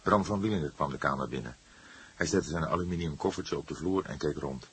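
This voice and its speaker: A man narrating a crime novel in a measured, dramatic storytelling manner. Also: a bass voice, a bit bright, husky. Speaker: audiobook narrator.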